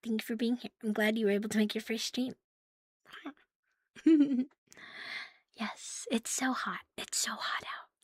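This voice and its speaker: A woman livestreaming ASMR in a playful, cutesy catgirl manner, soft and breathy, often whispering, close to the microphone. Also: fairly high pitch, measured pace, long pauses, no room sound. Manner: playful, cutesy catgirl persona, with giggles and purring. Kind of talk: ASMR, intimate chat to live listeners.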